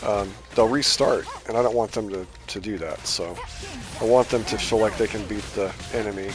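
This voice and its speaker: Low-voiced man streaming a game. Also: moderate pace, music in the background. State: voice starting to tire and give out. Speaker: game livestreamer. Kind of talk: casual rambling gameplay commentary.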